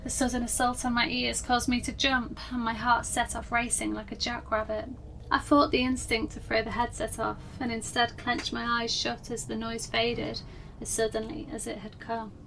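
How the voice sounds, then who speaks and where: A woman's voice: a little high, little pitch movement, moderate pace, gravelly, a bit bright. A woman reading a horror story as a dramatic scripted narration. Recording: distant mic with faint background noise.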